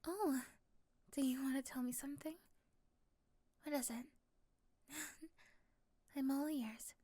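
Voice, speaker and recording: A woman playing a shy, nervous young woman in love in a romantic ASMR roleplay, speaking softly and hesitantly. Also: high voice, steady tone, takes it slowly, no echo. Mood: curious.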